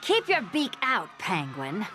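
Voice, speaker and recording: A woman's voice: medium pitch, huge pitch swings, a little slow, loud music behind the voice. An actress voicing a sly, sardonic female cat burglar who teases, in a comic video game. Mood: wry, teasing. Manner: sardonic, teasing.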